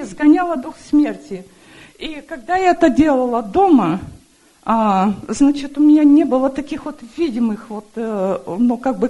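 A middle-aged woman giving an earnest personal church testimony, halting often and searching for words. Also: high-pitched, darkish tone. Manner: earnest, halting, with many fillers.

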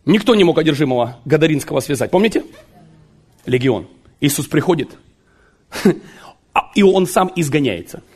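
Animated husky-voiced male preacher. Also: fairly high pitch, moderate pace, pauses often. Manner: animated and joking, with sudden shouts and laughter. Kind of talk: sermon.

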